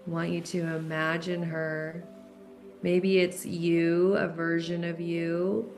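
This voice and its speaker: A woman leading a guided meditation in a gentle, unhurried way, leaving long pauses between instructions. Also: lowish voice, level tone. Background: background music.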